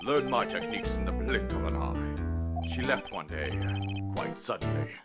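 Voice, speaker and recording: A man's voice: fairly low pitch, animated, over loud music, natural voice. Man acting a pompous, wildly swooping older lawyer. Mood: grave, regretful. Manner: pompous, theatrical, halting with hums and throat-clearing. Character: pompous, blustering older male lawyer, evasive and theatrical. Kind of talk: amateur video game fan dub acting.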